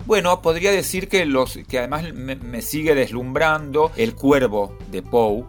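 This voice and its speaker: A man's voice: mid-range voice, unhurried, faint music behind. A man, reflecting on his reading and libraries with many restarts. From Argentina. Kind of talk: personal interview testimony.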